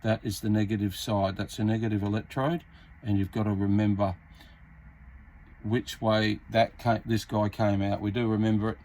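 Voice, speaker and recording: An Australian man giving a casual hands-on repair tutorial, talking in a halting, unscripted, step-by-step way as he works. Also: on the low side, level tone, darkish tone. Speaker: hobbyist demonstrating a radio repair. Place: indoors at a repair workbench. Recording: clearly noisy amateur workbench recording.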